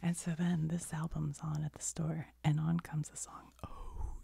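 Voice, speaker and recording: A middle-aged woman telling a rambling story in a soft, unvoiced whisper, with little asides as she goes. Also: low voice, level tone, normal speed, creaky, husky, no echo. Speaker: video creator.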